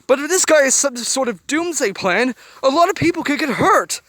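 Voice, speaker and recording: A man voicing a jittery male emotion that panics in a shrill, loud voice. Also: unhurried, no echo. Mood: panicked.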